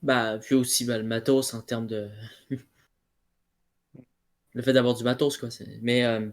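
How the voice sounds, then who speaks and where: A man's voice: medium pitch, flat delivery, unhurried. Guy chatting in a debate, medium voice, very colloquial, keeps rewording stuff. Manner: colloquial, repeats and rephrases. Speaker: debate participant. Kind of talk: casual debate chat.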